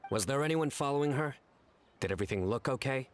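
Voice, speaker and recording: A man's voice: medium pitch, lively intonation, fast talker, close to an everyday voice. A man playing a composed, serious general in a video game. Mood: serious, polite. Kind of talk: video game character voice acting. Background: music.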